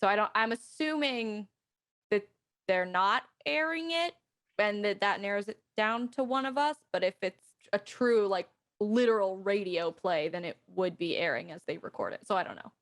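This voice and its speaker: A young woman actor thinking out loud in casual, rambling speech. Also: medium pitch, animated, normal speed. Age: young adult. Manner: rambling, speculative, frequent "like". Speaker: actor in the show. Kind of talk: casual chat.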